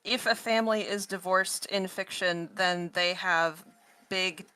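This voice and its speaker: Slow, bright, clear-voiced woman. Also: fairly low pitch, fairly even intonation, poor audio. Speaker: guest author. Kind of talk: casual livestream interview.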